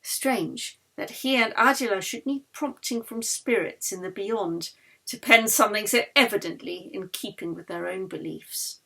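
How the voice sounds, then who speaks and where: A woman author reading her novel aloud as literary narration, measured and expressive. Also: medium pitch, creaky, breathy edge.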